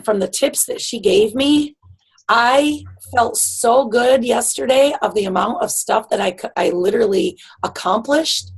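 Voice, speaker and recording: A woman hosting a video call, encouraging and emphatic, her voice raspy. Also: fairly high pitch, low-quality recording. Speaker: team leader hosting the call. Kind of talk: casual motivational chat. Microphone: computer mic on a video call.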